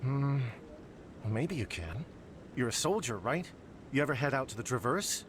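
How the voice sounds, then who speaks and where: Worried actor as a man, rumbling background noise. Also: very animated, moderate pace, natural voice. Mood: guarded, preoccupied. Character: worried man preoccupied with his missing brother, guarded but hopeful. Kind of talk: video game dialogue acting.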